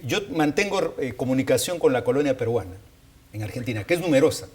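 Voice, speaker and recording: A man giving unscripted analysis as a panelist, explaining deliberately and repeating points for emphasis. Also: mid-range voice, lively intonation. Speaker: political panelist.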